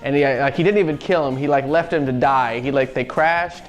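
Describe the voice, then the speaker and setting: A man's voice: mid-range voice, brisk, highs cut off. A man co-hosting a gaming show, giving casual, opinionated film commentary in a slangy, ranting way. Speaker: co-host of a gaming review show. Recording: music playing under the voice. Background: music.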